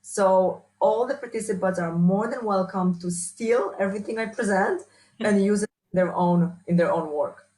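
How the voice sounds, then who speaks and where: A woman's voice: medium pitch, moderate pace, darkish tone, poor audio. Woman with a strong Polish accent. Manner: hesitant, many restarts and fillers. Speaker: workshop speaker, researcher. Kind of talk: interview answers.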